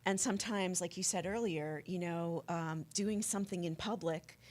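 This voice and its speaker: A woman speaking as an organizer in an unscripted radio interview, explaining earnestly and haltingly. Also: on the low side, darkish tone. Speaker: activist organizer, interview guest.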